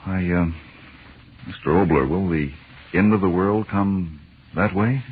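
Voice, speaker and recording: A man's voice: deep voice, a little slow, husky. A man working as a radio announcer, putting scripted questions to the host of a show. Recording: muffled old radio broadcast.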